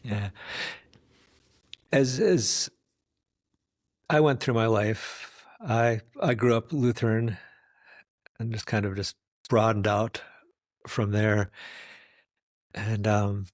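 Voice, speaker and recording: A man's voice: on the low side, slow, long pauses, dry recording. A man from the United States hosting an interview. He talks thoughtfully and muses at length with rambling asides. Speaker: host. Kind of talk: conversational interview. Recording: dry close recording.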